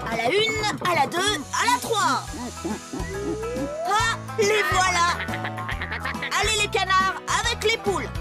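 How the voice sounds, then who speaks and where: Children's cartoon tractor: very high-pitched, childlike, cheerful show-off, loud music underneath. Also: female voice, expressive, slow, long pauses, exaggerated voice. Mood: determined. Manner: bubbly, exclamatory. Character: eager young boastful tractor, childlike and cheerful. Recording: music behind the voice.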